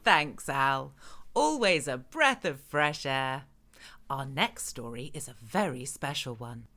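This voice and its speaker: An actor playing a showy radio show host with a menacing edge, presenting theatrically in an audio drama. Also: male voice, medium pitch, big pitch swings, slow, bright, forceful tone, exaggerated voice. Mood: showy, upbeat.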